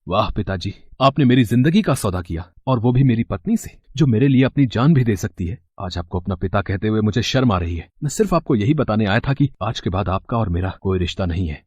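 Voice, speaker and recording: A loyal, devoted young husband with a husky, lowish voice, played by a man. He speaks earnestly and with feeling in an animated storybook. The sound is dry, with no room in it. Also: brisk, hardly any pauses, no echo. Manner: earnest and emotional.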